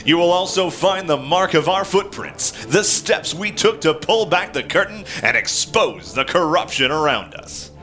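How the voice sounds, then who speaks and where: High pitched guy reading dramatically, really drawn out, loud choral music behind. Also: expressive, a little slow, few pauses. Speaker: reader. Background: dramatic orchestral choral music.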